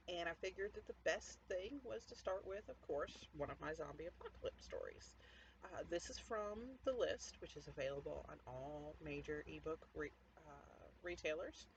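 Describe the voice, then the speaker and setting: A woman author speaking informally as she presents her own fiction from home. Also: sits low. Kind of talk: casual introduction to a home story reading. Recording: dull lo-fi audio, noticeable background noise.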